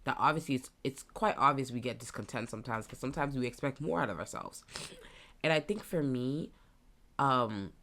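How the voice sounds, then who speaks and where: A young woman in her twenties with a stuffy nose, hosting a casual solo podcast and thinking out loud as she rambles. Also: very deep voice, brisk. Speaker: podcast host.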